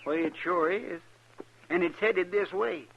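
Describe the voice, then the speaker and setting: An actor playing a loyal, anxious deputy sidekick in a radio western, with a rural American accent and a folksy, deferential manner. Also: male voice, sits high, a little slow, gravelly. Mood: earnest, uneasy. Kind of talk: 1950s radio western acting. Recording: muffled old radio with faint noise.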